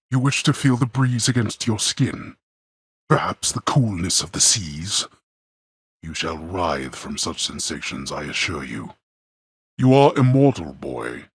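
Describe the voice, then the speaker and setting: A man playing a stern, imperious male lord of the underworld in a video game, speaking in a cold, disdainful, formal manner with a very husky, gravelly voice. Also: very deep voice, a bit bright, no room sound, full character voice. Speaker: voice actor.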